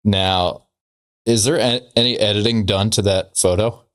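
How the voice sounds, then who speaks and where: A man with a lowish voice banters as a podcast co-host. His teasing is dry, and his phrasing is casual and a little hesitant. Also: fairly even intonation, a little slow, fairly bright tone.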